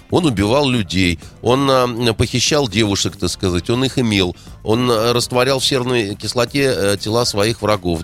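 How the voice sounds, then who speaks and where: A man in a heated political discussion, indignant, firing rhetorical questions and hesitating often. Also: on the low side.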